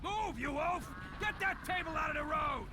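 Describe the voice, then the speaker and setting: An actor playing an impatient, bullying male motorist who shouts orders in a game audio drama. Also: very high-pitched, normal speed. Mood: furious. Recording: very noisy street with vehicles.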